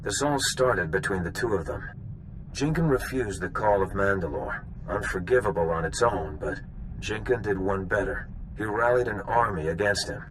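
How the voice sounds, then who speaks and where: A man's voice: on the low side, moderate pace, fairly bright tone, a little hiss or hum, natural voice, low-quality recording. An actor playing a seasoned bounty hunter in a video game, wry and knowing as he lays out old history. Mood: explaining, cautionary.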